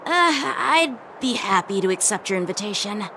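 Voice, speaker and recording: A woman's voice: mid-range voice, expressive, moderate pace, pushed and bright, slight background noise, poor audio. An actress playing an earnest, frank, easily flustered young boy swordsman in a video game. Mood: courteous, relieved. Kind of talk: English video game dub acting. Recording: music behind the voice.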